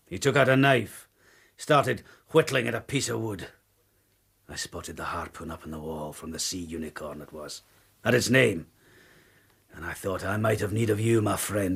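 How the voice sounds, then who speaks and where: Gravelly, sardonic old-time whaling harpooner. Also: male voice, sits low, moderate pace, a bit bright, full character voice. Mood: brooding. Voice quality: gravelly, husky. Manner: blunt sailor's colloquial talk. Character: rough, sardonic whaling harpooner confessing a killing. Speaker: actor in radio drama. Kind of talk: radio drama acting.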